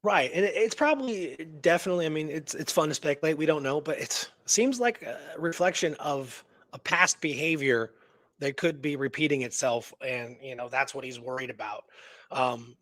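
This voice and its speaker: A man with a slightly high-pitched voice talks through a story as a radio show co-host. He is informal and digressive, often checking that he is followed. The sound is very dry, with almost no room. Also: lively intonation, moderate pace, a bit bright, no room sound. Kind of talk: casual conversational storytelling and discussion.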